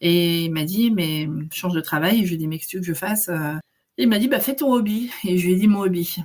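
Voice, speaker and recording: Guest, woman in her forties, lowish pitch, chatty storyteller full of fillers. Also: quick pace, a little mellow. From Netherlands. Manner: chatty, many fillers, laughs often. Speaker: guest, maternity carer. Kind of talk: casual interview chat.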